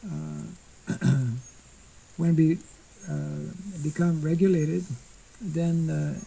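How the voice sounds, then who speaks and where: An older monk giving an informal scripture class outdoors into a stand mic, speaking slowly and haltingly and clearing his throat often. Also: a little high, fairly even intonation, mellow, low-quality recording. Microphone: stand mic in front.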